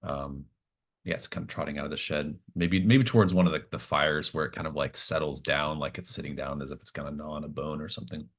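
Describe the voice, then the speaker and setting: A man acting as game master, narrating a tabletop roleplaying game casually and thinking aloud with hesitant pauses. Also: rumbling low, fairly even intonation, a bit bright.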